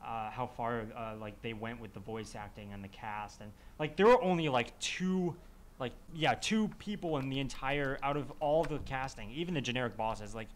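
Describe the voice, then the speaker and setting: A man's voice: medium pitch, moderate pace. A man talking casually in a group podcast discussion, often fumbling his words and backtracking. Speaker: podcast panelist.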